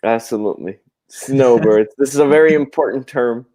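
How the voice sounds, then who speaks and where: A man from Puerto Rico, co-hosting a casual live stream and explaining things slowly. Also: medium pitch, crowd noise, low-quality recording. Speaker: co-host.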